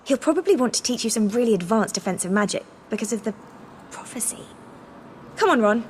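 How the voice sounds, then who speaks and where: Casting: female actor as earnest teen pupil for a game, high, prim and very expressive. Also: brisk, slight background noise, natural voice. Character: earnest, prim teenage schoolgirl. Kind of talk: video game voice acting.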